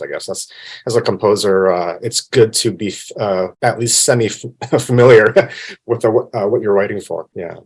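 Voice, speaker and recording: A man from Canada, a guest on a podcast, answering casually with frequent laughs and restarts. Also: on the low side. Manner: relaxed, laughs often, many restarts. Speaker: film composer, guest. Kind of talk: casual interview.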